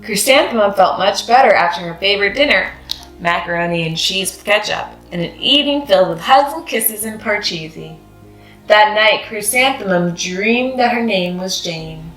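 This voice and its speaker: A woman narrating a children's read-aloud as host, with expressive, deliberate delivery for children. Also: medium pitch, roomy sound, faint music behind, poor audio. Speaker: storybook reader, channel host.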